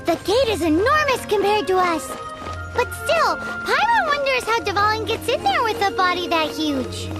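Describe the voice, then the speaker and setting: A woman voicing a tiny childlike fairy companion in a video game, chirpy, cheeky and exclamatory, with a squeaky voice. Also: sing-song, normal speed, over loud music. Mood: curious. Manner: speaks of herself in third person, exclamatory.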